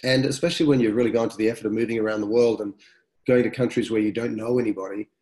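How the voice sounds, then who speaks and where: A middle-aged man, hosting a webinar in a relaxed, conversational, reflective way, his nose blocked up from a cold. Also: on the low side, very fast. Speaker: webinar host. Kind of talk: casual fireside-style webinar talk.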